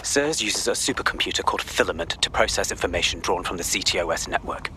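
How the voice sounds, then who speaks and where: A grave male spy officer in a video game, with a low voice over noticeable rumbling noise. He speaks in measured, clipped phrases, guarded and humourless. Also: animated. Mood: cool, curt.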